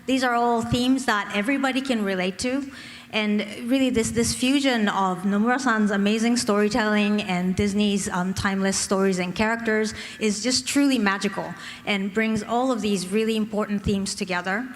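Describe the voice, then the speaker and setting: A woman's voice: mid-range voice, fairly even intonation, normal speed. Earnest woman speaking in a hall. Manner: earnest and grateful, with fillers. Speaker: panel speaker. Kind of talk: prepared panel remarks. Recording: long hall reverb.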